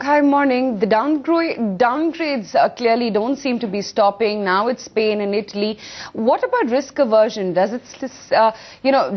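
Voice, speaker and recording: Indian woman anchoring business news, slightly dull audio. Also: fairly high pitch. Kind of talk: live business news presenting.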